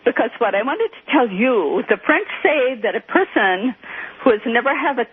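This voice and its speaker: A woman's voice: a little high, very animated, moderate pace, breathy edge. An older woman from the US East Coast calling a radio show, scolding and theatrical, over a phone line. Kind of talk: argumentative phone-in chat. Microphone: telephone. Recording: muffled narrow phone line.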